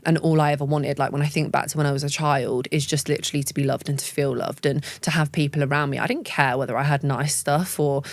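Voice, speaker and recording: A woman's voice: low voice, steady tone, quick pace, few pauses, no echo. A woman talking as a guest in an interview, earnest and chatty, with lots of "like" and "do you know what I mean". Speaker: guest, beauty business owner. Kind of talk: casual interview chat.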